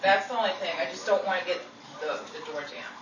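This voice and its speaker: Woman in casual rehearsal chat, echoey room, loud background noise. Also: on the low side, quick pace, distant mic, highs cut off.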